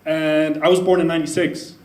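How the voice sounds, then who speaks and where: A man in his twenties from the Netherlands makes his point to the room with earnest conviction. His voice sits somewhat high and rings in an echoey space. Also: flat delivery, brisk, darkish tone. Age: 20s. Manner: earnest, apologetic about swearing. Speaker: audience speaker at a debate. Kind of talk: public debate speech.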